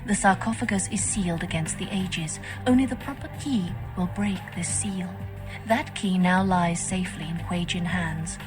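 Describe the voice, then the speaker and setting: Actress doing a scheming vampire lady boss in a game, calm n stately, music behind. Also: fairly low pitch, natural voice. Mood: cool warning. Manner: formal, measured, stately. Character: composed, scheming, regal female vampire faction leader. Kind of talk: video game dialogue acting.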